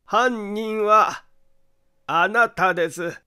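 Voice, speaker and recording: Amateur detective for mystery audiobook, male reader acting: creepy amusement, high pitch, unhurried deliberate accusations. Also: bright, forceful tone, clear, resonant tone, heavily acted. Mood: coolly accusing. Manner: deliberate, theatrical, amused emphasis. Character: eerie chuckling amateur detective, calm, relentlessly accusing man.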